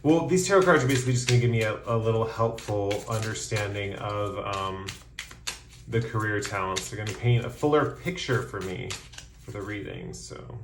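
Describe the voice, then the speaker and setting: A man giving a casual tarot reading, talking in a relaxed, rambling way with many fillers while he is losing his voice. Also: fairly low pitch, echoey room, noisy background. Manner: relaxed, rambling, frequent ums, slows to announce cards. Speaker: tarot reader.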